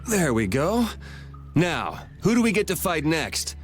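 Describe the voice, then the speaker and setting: Cocky, expressive young swordsman. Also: a little high, unhurried, faint music behind. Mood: teasing. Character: cool, cocky, laid-back young swordsman. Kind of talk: video game acting.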